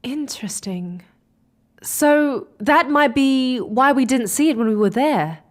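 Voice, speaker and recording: A woman playing an inquisitive, excitable space explorer in an audio drama, with a questioning, hesitant way of talking. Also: high-pitched, slow. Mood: curious, uneasy.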